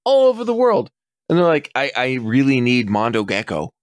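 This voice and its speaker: A man in his forties on a podcast, chatting casually, animated and swearing freely as he acts out customers' lines. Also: mid-range voice, moderate pace, dry recording. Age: 40s. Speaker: podcast co-host.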